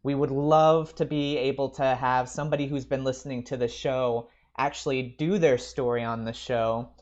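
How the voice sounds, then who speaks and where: Male podcast host, casual and jokey, animated conversational delivery, medium pitch. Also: normal speed, muffled recording. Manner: animated, joking, informal.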